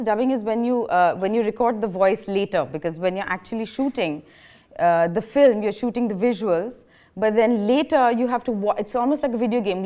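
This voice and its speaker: A woman's voice: fairly high pitch, a little mellow. A woman in her thirties from India, whose first language is Bengali, giving a public lecture in a chatty, self-deprecating way, with frequent laughs, fillers and restarts. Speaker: guest speaker. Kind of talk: public lecture, personal anecdotes. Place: lecture hall.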